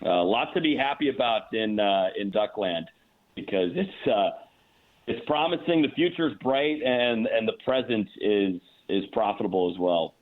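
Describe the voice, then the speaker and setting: A man with a lowish voice speaks over a thin, band-limited phone connection. He sounds like a sports broadcaster guesting on a show, giving conversational hockey analysis. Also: animated, normal speed. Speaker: sports play-by-play announcer, guest. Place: remote call into a radio show. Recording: tinny, narrow-band phone line.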